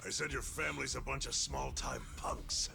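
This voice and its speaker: An actor playing a cocky, sneering prison thug in a video game dub, mocking and taunting. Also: male voice, medium pitch, sing-song, normal speed, vocal fry. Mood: sneering contempt. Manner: mocking, drawling, profane taunts. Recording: music behind the voice. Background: music.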